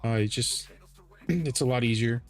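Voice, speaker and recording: A man hosting a casual screen-share tutorial, explaining settings in a halting way with frequent self-corrections. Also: medium pitch. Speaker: trading bot developer and livestream host. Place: live voice call on a screen-share stream. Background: music.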